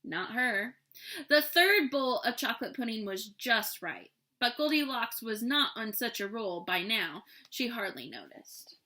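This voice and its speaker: Woman storyteller for a children's read-aloud, highish bright voice, playful and tongue-in-cheek. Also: animated, a little slow.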